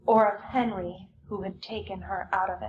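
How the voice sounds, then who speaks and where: A woman giving a dramatic reading of literary fiction, with a measured, deliberate delivery. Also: mid-range voice, fairly even intonation, muffled recording. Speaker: theater performer reading a book excerpt aloud.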